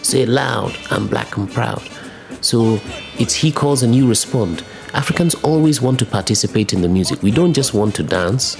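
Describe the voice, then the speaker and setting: A music producer from Ghana, speaking passionately in an interview and speeding up when enthused. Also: male voice, medium pitch, expressive, moderate pace, vocal fry. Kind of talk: interview commentary.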